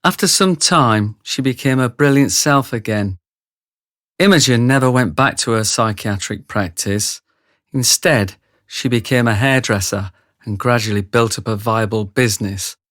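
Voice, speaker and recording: Older retired guy narrating a dark radio play an actor, wry, measured, dry studio sound. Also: mid-range voice, no echo, lightly acted. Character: retired male insider narrating a dark confession. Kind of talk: scripted radio drama narration.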